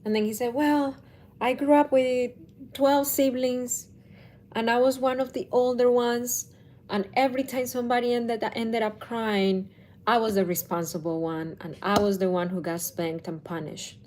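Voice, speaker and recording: A woman's voice: a little high, steady tone, darkish tone. A woman, likely in her thirties, talking to camera as a parenting coach in a thoughtful, casual way with restarts. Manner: slow, thoughtful, with restarts and self-corrections.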